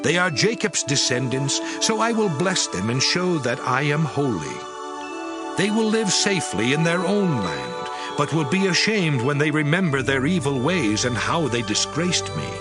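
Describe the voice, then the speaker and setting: An actor playing the Lord God as a stern, solemn divine judge, declaiming in a measured way in an audio scripture drama. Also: male voice, on the high side, very animated. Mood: solemn, merciful. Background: music behind the voice.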